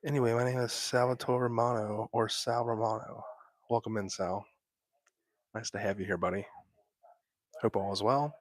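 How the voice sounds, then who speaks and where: A young man whispering softly into a close microphone while hosting a laid-back late-night livestream from bed at home. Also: lowish voice, fairly even intonation, moderate pace, pauses often, no room sound. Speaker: livestream host.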